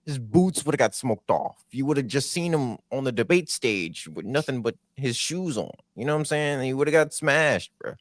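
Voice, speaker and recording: A man's voice: mid-range voice, expressive, normal speed, hardly any pauses, no room sound, studio quality. A man co-hosting a podcast, talking bluntly with lots of slang in casual chat. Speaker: podcast co-host.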